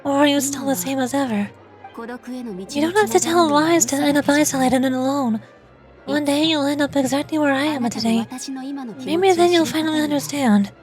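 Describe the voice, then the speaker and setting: A woman's voice: high voice, normal speed, darkish tone, faint music behind, close to an everyday voice. A woman voicing a bitter, guilt-ridden woman thief from a game, grave and reproachful. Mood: bitter warning.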